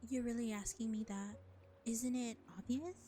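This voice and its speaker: A woman playing a world-weary, powerful vampire in a scripted audio roleplay, deliberate and condescending, speaking to the listener as a reluctant ally. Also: a little high, steady tone, mellow, faint music behind, lightly acted. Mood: resigned, dry. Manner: deliberate, exasperated, sighing, condescending.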